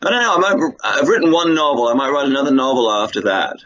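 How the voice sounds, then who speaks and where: A man's voice: mid-range voice, very animated, fast talker, a loud crowd. A talkative, jokey man chatting enthusiastically as a guest in a casual radio interview. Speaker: radio guest, filmmaker and author. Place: remote call to a radio show. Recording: dull lo-fi radio call.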